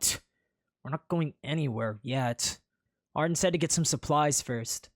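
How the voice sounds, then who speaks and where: A man's voice: a little high, unhurried, no room sound, studio quality, close to an everyday voice. A storyteller voicing a cheeky, boastful young male commoner hero who teases his rival. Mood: protesting.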